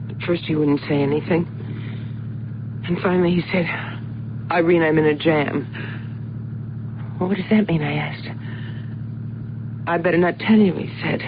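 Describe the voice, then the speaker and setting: An actress playing a distraught, insistent wife who pleads earnestly, in the style of old-time radio drama. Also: female voice, on the low side. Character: distraught, pleading wife of a missing truck driver. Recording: noisy, narrow-band old radio recording.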